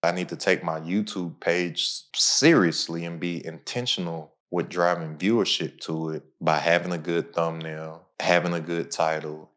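Deep-voiced man hosting a podcast. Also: normal speed, few pauses. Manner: energetic, informal, pitches his own program. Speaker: podcast host. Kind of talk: casual motivational podcast talk.

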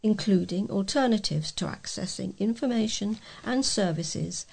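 Female, heavy vocal fry, mid pitch, volunteer newsreader, scripted local news. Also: expressive, moderate pace, a little mellow. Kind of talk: read-aloud local news.

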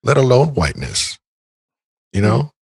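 A man's voice: medium pitch, big pitch swings, a little slow, creaky, slightly rough, no room sound. A man co-hosting a podcast, talking in a thoughtful, rambling way with many restarts. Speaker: podcast co-host. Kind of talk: casual podcast conversation.

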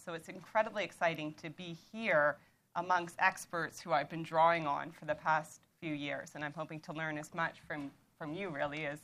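A woman in her thirties from Canada with a Canadian accent, an author speaking at a panel in a measured, explanatory way with occasional restarts. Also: low voice, fairly bright tone. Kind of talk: lecture-style talk.

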